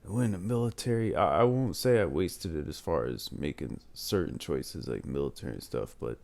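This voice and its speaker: Low gravelly young man giving advice. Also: lively intonation, normal speed, darkish tone. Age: 20s. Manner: candid, reflective, says "man" often. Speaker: advice channel host. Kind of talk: casual advice monologue.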